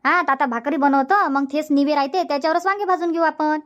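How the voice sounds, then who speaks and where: A woman's voice: high voice, fast talker, muffled recording, close to an everyday voice. A woman voicing a chatty young village homemaker in a cartoon comedy, speaking colloquial Marathi with a Varhadi accent. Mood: chatty, practical.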